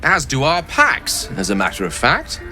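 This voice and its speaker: An actor playing an eloquent, polite and self-assured wizard with florid, formal speech in a fantasy video game. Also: male voice, medium pitch, wildly swooping pitch, moderate pace, sharp tone, soft background music, heavily acted. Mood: courteous, assured.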